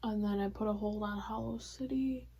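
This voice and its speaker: A young woman vlogging casually about her reading, rambling with lots of fillers, her voice going hoarse. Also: mid-range voice, fairly even intonation, normal speed. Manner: rambling, filler-heavy, soft-spoken. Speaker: book vlogger. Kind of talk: casual vlog chat.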